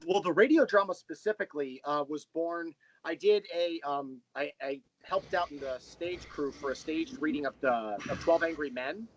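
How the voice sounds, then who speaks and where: A man's voice: on the high side, normal speed, slight background noise, low-quality recording. An American man being interviewed, telling personal anecdotes in a wry, wordy, informal way. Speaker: interview guest, audio drama creator. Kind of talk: casual chat, personal anecdotes.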